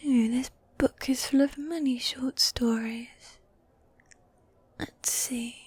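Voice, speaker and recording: A woman voicing a needy, dominant goth demon girlfriend in a soft, breathy, coaxing whisper, in a medieval fantasy ASMR roleplay. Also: sits high, fairly even intonation, heavily acted. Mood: amused, teasing. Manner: affectionate, coaxing, drawn-out genteel phrasing.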